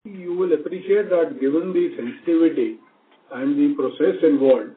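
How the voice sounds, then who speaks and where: A man with an Indian accent, a company executive answering investor questions in a measured, diplomatic, formal way. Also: a little high. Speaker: company executive leading the team. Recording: echoey speakerphone-like narrow phone line.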